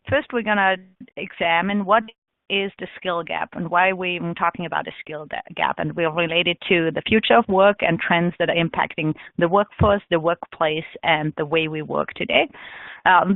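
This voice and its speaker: A woman from Austria giving a webinar presentation, talking in a measured way with frequent ums. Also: lowish voice, no echo. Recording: tinny phone-line audio.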